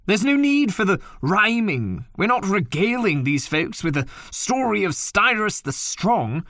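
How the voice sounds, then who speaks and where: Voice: mid-range voice, sing-song, measured pace, fairly bright tone, no echo, studio quality. An actor playing a practical, fussy co-narrator, hesitant and matter-of-fact, in scripted audio comedy banter. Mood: exasperated.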